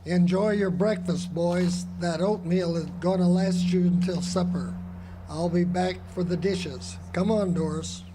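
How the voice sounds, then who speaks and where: An actor playing a crooked, bullying small-town sheriff in a live radio drama, gruff, folksy and domineering. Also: male voice, high voice, fairly even intonation, unhurried. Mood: curt. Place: live theater stage. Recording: noisy live amphitheater stage recording.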